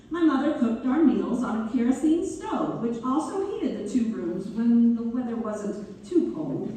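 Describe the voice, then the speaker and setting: A woman's voice: a little high, mellow. A woman playing a genteel author who fondly recalls her childhood in a dramatic stage read-through. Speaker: community theater actor. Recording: echoey room, distant mic, faint noise.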